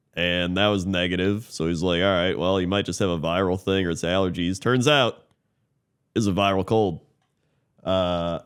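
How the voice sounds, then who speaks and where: A man's voice: lowish voice, clean tone. A man co-hosting a podcast, congested from a cold, telling a rambling story in casual chat with plenty of fillers. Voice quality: Muppet-like voice. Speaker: podcast co-host.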